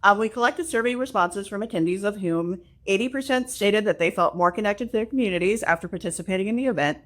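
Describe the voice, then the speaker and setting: A woman's voice: mid-range voice, fast talker, fairly bright tone. A woman, a library assistant director, reading prepared figures and adding friendly casual asides.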